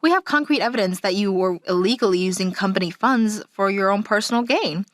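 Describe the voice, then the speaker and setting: A woman's voice: medium pitch, a little fast, no room sound, studio quality, faint music behind, lightly acted. A woman playing a confident, direct company auditor in an English manga dub. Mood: cool, triumphant. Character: self-assured, teasing female company auditor.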